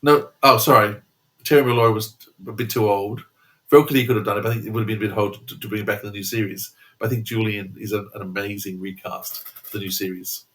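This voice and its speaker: A man with a moderately low voice co-hosts a podcast. He talks casually, like a fan giving opinions, in a halting way with frequent rewording. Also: steady tone, fairly bright tone. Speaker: podcast co-host.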